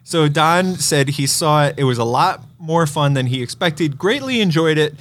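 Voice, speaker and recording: A man's voice: fairly high pitch, lively intonation, moderate pace, fairly bright tone. A man co-hosting a casual movie podcast, joking around with playful exaggeration. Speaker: podcast co-host; movie theater operator.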